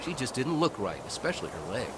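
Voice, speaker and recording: A man's voice: mid-range voice, sing-song, quick pace, heavy background noise, lightly acted. A man playing a folksy older country fellow who earnestly recalls his boyhood in an audio drama. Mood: animated, rueful.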